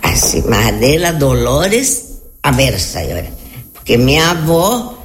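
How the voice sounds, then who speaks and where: An elderly woman from Argentina, a native Spanish speaker with an Argentine Spanish accent, chatting in a halting, repetitive, anecdotal way as an interview guest. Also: very deep voice, very animated. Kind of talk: casual conversational interview answers.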